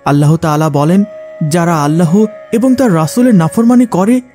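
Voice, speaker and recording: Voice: mid-range voice, moderate pace, dark tone, natural voice. A performer voicing a righteous young friend who solemnly moralizes in a cartoon. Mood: solemn, preaching. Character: righteous young boys admonishing a wayward friend. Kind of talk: cartoon voice acting, preachy. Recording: music behind the voice. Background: background music.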